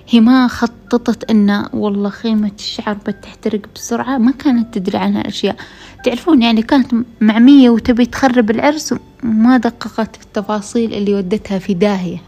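A woman's voice: on the high side, mellow, husky. A woman with a Gulf Arabic accent hosts a podcast, casually telling a true story straight to listeners, her voice tired from being unwell. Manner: conversational, addresses listeners directly. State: tired voice from a week of illness. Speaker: podcast host.

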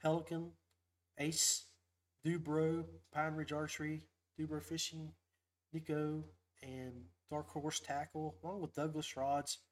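A man with a slightly high voice chats as the host of a fishing livestream. His delivery is folksy and upbeat, wandering from one thought to the next as he goes. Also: flat delivery, a little slow. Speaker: podcast host. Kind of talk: casual livestream fishing chat.

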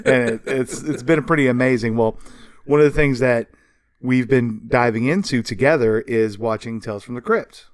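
A man's voice: mid-range voice, normal speed, clear, resonant tone, no room sound. A man in his thirties co-hosting a casual podcast, talking like an enthusiastic fan. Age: 30s. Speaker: podcast co-host.

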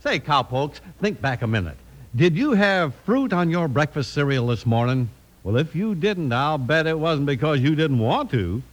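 A man narrating and pitching the sponsor as a vintage radio announcer, with a folksy, polished delivery. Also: lively intonation, normal speed, faint music behind.

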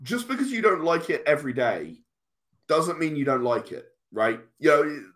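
A man's voice: fairly high pitch, very animated, moderate pace, fairly bright tone. A man in his late thirties, chatting casually as a podcast guest in an earnest, emphatic way, repeating phrases for stress. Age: late 30s. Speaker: podcast guest, comic and game creator. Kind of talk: casual conversational interview.

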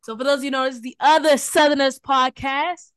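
A woman's voice: high voice, fairly even intonation, fast talker, a bit bright, dry recording. A young Southern woman from Houston, co-hosting a casual podcast in a playful, teasing way. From Houston, Texas. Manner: playful, teasing, hypes up her co-host. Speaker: podcast co-host.

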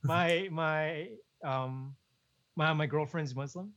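Young man, casual podcast co-host, slightly high voice, dull lo-fi sound. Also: takes it slowly. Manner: relaxed, chuckling, many restarts and "man". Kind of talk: casual chat.

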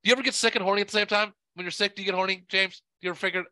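A man's voice: high-pitched, steady tone, racing, sharp tone, dry recording. Stuffy, foul-mouthed sick podcast host man. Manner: crude, profane, rambling. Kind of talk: casual podcast chat.

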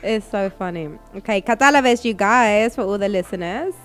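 A woman's voice: mid-range voice, normal speed, clean tone, soft background music. Casual woman hosting a chatty interview. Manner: informal, slangy, animated reactions. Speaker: interviewer and show host.

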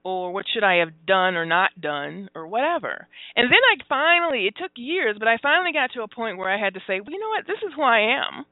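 A woman's voice: a little high, expressive, no echo. Candid woman on a tinny line. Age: 40s. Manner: open and confessional, halting with fillers, laughs often. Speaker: radio talk show host. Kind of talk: candid phone-in radio chat. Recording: dry, tinny phone-like sound.

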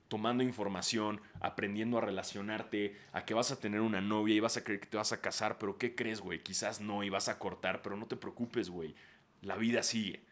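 A man's voice: fairly low pitch, a bit bright. A man in his thirties with a Mexican accent, hosting a podcast and giving casual, profanity-laced advice, throwing in slang after almost every phrase. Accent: Mexican Spanish. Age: 30s. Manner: swears freely and throws in "güey" constantly. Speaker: podcast host.

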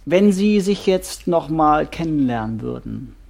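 Deliberate, gravelly male couples therapist. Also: fairly high pitch, expressive, a little mellow, heavily acted. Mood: probing. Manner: deliberate, pausing, directive. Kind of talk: German audio drama, naturalistic dialogue.

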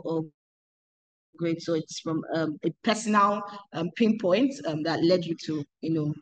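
A woman's voice: lowish voice, dull audio. A woman hosting an interview podcast, asking questions hesitantly with many "um"s and repeated words. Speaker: podcast host.

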